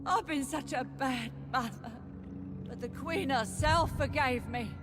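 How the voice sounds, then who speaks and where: A woman's voice: high voice, measured pace, music in the background. An actress playing a sick, bitter, deranged woman in a video game, anguished, plaintive and accusing. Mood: anguished, self-pitying. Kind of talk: dramatic video game acting.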